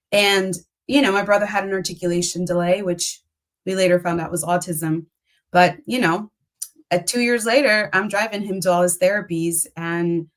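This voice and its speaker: A woman in her forties whose first language is Spanish, a speech-language pathologist telling her story candidly with frequent "um" and "you know". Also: on the low side. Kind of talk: conversational interview.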